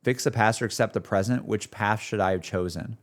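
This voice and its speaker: A man's voice: fairly low pitch, fairly quick. A man streaming casual, slangy, exclamatory reactions to a game as he talks to his viewers.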